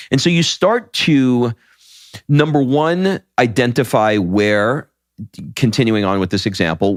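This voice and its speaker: A man with a deep, low voice co-hosts a podcast in casual chat. He tells stories with energy and often restarts his sentences. His voice is recorded close and dry, with no room sound. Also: dry recording. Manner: animated storyteller, frequent restarts. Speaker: podcast co-host.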